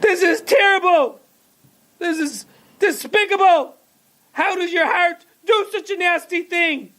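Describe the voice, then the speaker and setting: A man's voice: normal speed, fairly bright tone. A man voicing a frantic mother who shrieks accusations at a shopkeeper, in a storytelling teacher's classroom tale. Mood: furious. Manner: shrill, accusing, hurls insults. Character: frantic shrieking mother, middle-aged woman.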